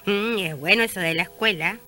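Actress as a bright, chatty village neighbour woman. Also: medium pitch, a little fast, soft background music, lightly acted. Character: gossipy, pious small-town neighbour woman, friendly and chatty. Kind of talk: radio drama dialogue.

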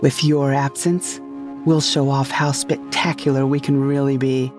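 Narrator for sci-fi audio drama monologue, medium pitch, soft music underneath. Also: little pitch movement, unhurried. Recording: faint music behind the voice.

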